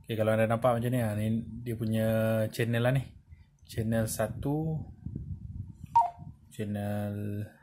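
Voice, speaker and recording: A man with a Malaysian accent giving an informal hands-on tutorial, explaining step by step in a halting way with frequent check-in tags. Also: on the low side, steady tone. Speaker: presenter of a tutorial. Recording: clearly noisy amateur recording with clicks.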